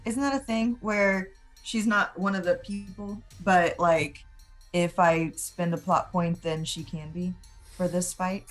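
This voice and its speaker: A woman's voice: on the low side, fairly even intonation, a little slow, soft background music. A woman chatting casually as a player in a tabletop roleplaying game.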